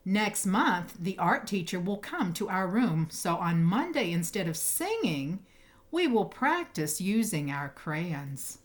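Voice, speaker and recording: A woman's voice: fairly low pitch, very animated, slow pace. A woman voicing a strict female first grade teacher in a storybook, firm and instructing. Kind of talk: storybook character voice.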